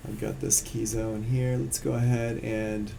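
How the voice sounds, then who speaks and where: A man teaching music production in a casual, relaxed live-streamed software tutorial, explaining as he works on screen. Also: on the low side, unhurried, vocal fry, heavy background noise.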